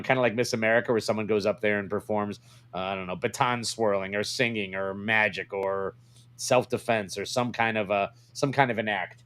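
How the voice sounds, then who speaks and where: A man co-hosting a casual comedy podcast, bantering in a blunt, crude, wisecracking way. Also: lowish voice. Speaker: podcast co-host.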